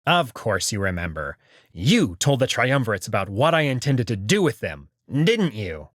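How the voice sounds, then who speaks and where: A man's voice: mid-range voice, sing-song, normal speed, a bit bright, no echo, clean studio sound. A man voicing a haughty, menacing male alien wolf noble in a visual novel, with an imperious, sneering manner. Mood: menacing, scornful.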